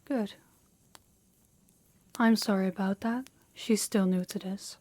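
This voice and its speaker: A woman playing a dominant, stern mafia boss in an audio roleplay, speaking formally and deliberately with authority. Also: medium pitch, steady tone, darkish tone, no room sound. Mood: composed, businesslike.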